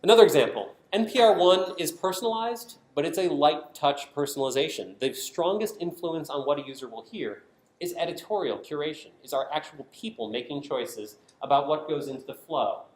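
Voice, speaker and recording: An American man giving a conference presentation as a product designer, explaining things clearly and stressing key phrases. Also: on the high side, animated, brisk. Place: indoor conference room, speaking to an audience. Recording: roomy, echoing conference room sound.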